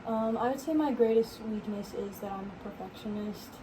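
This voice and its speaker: A young woman answering job interview questions softly and casually, often saying "like". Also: mid-range voice, normal speed. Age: young adult. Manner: soft-spoken, casual with frequent "like". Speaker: recreation leader job candidate. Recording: noisy background.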